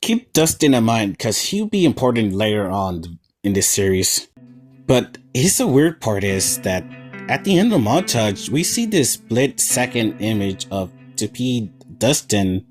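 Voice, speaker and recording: A man's voice: on the low side, big pitch swings, measured pace. A man hosting a video essay, giving casual, slightly stumbling analysis of a horror series. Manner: informal, stumbling over wording. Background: faint plucked guitar music.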